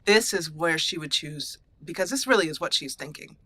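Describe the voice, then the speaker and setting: Bright-voiced woman doing casual game commentary. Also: low-pitched, expressive, moderate pace, slightly rough. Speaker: let's play host.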